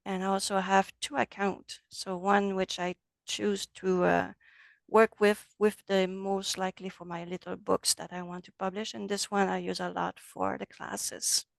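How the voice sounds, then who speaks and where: Woman, French accent, gravelly, dry close sound, relaxed online class instructor. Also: mid-range voice, a little slow, no echo. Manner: friendly, loose, switches between English and French. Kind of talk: casual online class walkthrough. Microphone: video call.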